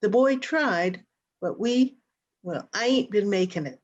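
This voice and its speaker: A muffled, low-fidelity recording of a woman acting a poor frontier widow in a radio western. Her voice sits at a medium pitch, hesitant and worried, with plain country phrasing and an apologetic manner. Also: unhurried, a little mellow, natural voice. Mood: worried, pleading. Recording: dull, lo-fi video-call audio.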